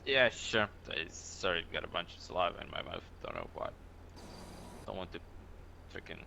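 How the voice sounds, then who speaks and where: A man playing a drunken male driver in a game roleplay. His voice is low, creaky and edged, and he mumbles evasive, slangy answers like someone slow to follow. Faint noise sits behind him. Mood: grudging, defensive. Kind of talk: improvised online game roleplay.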